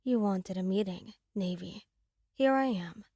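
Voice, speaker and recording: A woman playing a guarded, defiant masked rival crime boss, speaking in a steady, controlled way in an audio roleplay. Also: medium pitch, measured pace, no room sound, clean studio sound.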